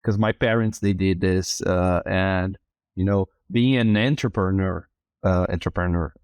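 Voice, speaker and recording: A man from southern Brazil with a lowish voice hosts a podcast interview in English, his second language. He gropes for words and restarts often, and the close mic leaves the sound dry. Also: a little mellow, no room sound. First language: Portuguese. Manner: halting, many restarts and fillers. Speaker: podcast host. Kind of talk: casual interview chat.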